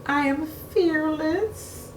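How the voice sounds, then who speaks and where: A woman's voice: high-pitched, drawn-out words, echoey room, slight background noise, voice pushed up. A woman voicing a boastful, brave little girl in a children's storybook, with a theatrical sing-song delivery. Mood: nervous bravado. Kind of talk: children's storybook read-aloud.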